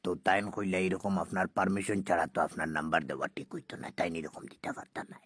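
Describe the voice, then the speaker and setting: A man's voice: sits low, steady tone, quick pace, fairly bright tone, no room sound. A man making a comic prank phone call, rambling and repeating himself. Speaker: prank caller.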